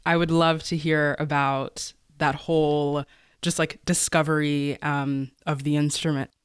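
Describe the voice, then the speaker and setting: An American man hosting a podcast, asking questions in a relaxed, hesitant way full of ums and likes. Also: on the high side, measured pace, clear, resonant tone, dry recording, studio quality. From United States. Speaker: podcast host. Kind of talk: podcast interview.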